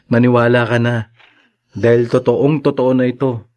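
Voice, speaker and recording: An actor playing a gentle, devoted young Filipino man courting his old love in a radio drama, courteous and a little hesitant. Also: medium pitch, little pitch movement, unhurried, lightly acted. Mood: loving.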